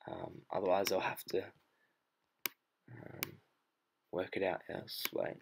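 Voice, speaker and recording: A man's voice: low voice, level tone, takes it slowly, gravelly, background noise. A man talking casually through a photo editing tutorial, thinking aloud as he works. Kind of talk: unscripted screen-recorded tutorial narration.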